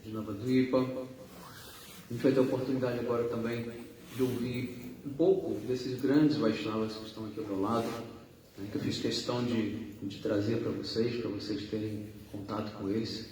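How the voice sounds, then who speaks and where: A man's voice: lowish voice, steady tone, normal speed, darkish tone. A man introducing guest speakers at a religious gathering, rambling and correcting himself, with many fillers. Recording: echoey hall, distant mic, faint hum.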